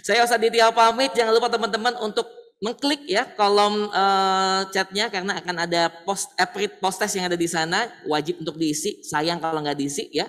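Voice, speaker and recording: A man's voice: high-pitched, steady tone, normal speed, fairly bright tone. Chatty male host in an echoey hall. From Jakarta, Indonesia. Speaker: talk show host. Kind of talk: casual event hosting to an audience. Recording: roomy hall echo, distant mic, noisy.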